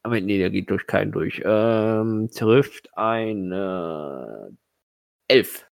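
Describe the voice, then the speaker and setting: A man running a tabletop role-playing game, improvising narration and casual rulings in a halting, hesitant way. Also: lowish voice, expressive, creaky, no room sound, studio quality. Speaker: game master.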